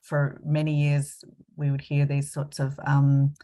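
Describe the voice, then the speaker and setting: Woman researcher with a cold, low monotone voice, hesitant delivery. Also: unhurried. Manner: hesitant, many fillers. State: coming down with a cold, sniffly. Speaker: independent consultant and researcher. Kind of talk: online panel talk.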